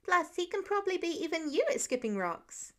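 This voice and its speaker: A woman's voice: extremely high pitch, sing-song, brisk, a bit bright, pitched above the performer's own voice, heavily acted. A woman voicing an eager, blunt kindergarten-age boy, chirpy, earnest and full of questions. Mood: satisfied, proud.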